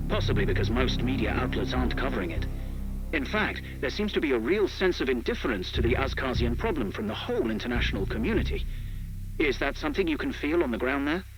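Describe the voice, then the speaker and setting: An actor playing a serious, courteous radio news anchor who speaks formally in a scripted interview. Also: male voice, medium pitch, background noise, lightly acted.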